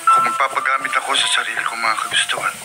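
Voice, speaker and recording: A man's voice: medium pitch, huge pitch swings, quick pace, over loud music. An actor playing a troubled, humble younger man in a Filipino radio drama, solemn, respectful and hesitant. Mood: resigned, solemn. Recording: noisy radio broadcast.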